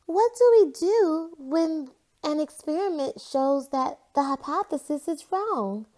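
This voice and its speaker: A woman voicing a curious, lively young girl in a storybook, with an expressive, exclamatory manner. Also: sits high, takes it slowly, pitched above the performer's own voice.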